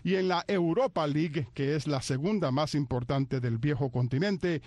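A male sports co-host speaks in a fairly high voice, recorded close and dry. He delivers recaps and panel banter, rolling out foreign team names with showy pronunciation. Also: normal speed, darkish tone, no room sound. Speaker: sports show co-host presenting soccer recap.

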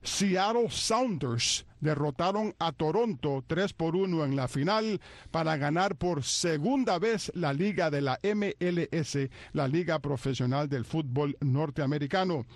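An upbeat radio host with a slightly high voice runs a sports segment in a polished announcer style. His voice sounds dry and close to the mic. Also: a little slow, no echo. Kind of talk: hosting a sports talk segment.

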